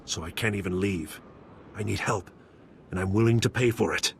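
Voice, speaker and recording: Low-voiced, shady bounty hunter. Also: male voice, moderate pace, gravelly, slight background noise. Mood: uneasy bargaining. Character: shady, gruff male alien bounty hunter. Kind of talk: dramatic video game acting.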